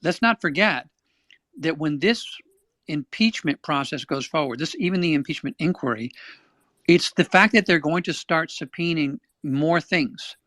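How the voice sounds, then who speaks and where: A man's voice: a little high, normal speed, fairly bright tone, no room sound. A man joining a live audio chat to make an opinionated point, arguing it step by step.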